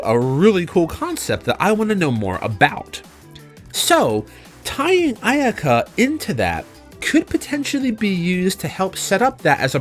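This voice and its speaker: A man narrating a video game review in a conversational, emphatic way, as a channel host. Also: fairly high pitch. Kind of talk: semi-scripted review narration. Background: background music.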